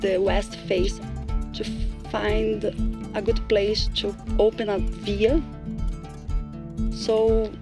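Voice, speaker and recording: A woman's voice: mid-range voice, little pitch movement, drawn-out words, vocal fry, long pauses, music in the background. A woman from Brazil, a Portuguese speaker and entomologist, speaking hesitantly in a documentary interview. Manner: hesitant, plain phrasing.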